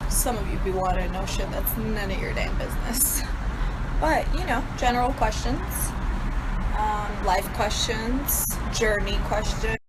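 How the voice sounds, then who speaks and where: A woman's voice: medium pitch, measured pace, heavy background noise. A woman from New York, a native Serbo-Croatian speaker, answering fan questions in a casual, blunt and profane vlog recorded on her phone. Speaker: social media personality.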